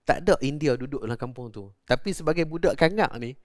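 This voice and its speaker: A man hosting a relaxed conversation, explaining words at length and affirming his guest often. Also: medium pitch, fast talker, a little hiss or hum. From Malaysia. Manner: explanatory, chatty, frequent affirming interjections. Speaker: host. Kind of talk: conversational interview.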